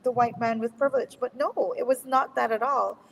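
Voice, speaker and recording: Woman, fired up and emphatic, high-ish voice, lots of reverb. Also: fairly even intonation, brisk, creaky, a little hiss or hum. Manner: passionate, emphatic, repeating for emphasis. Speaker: educator on a panel. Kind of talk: panel discussion.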